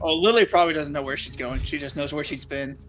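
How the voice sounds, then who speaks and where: A man's voice: fairly high pitch, fast talker, music in the background, rough recording. A man chatting casually on a game stream in a blunt, teasing, sometimes profane way. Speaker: co-streamer voicing game characters.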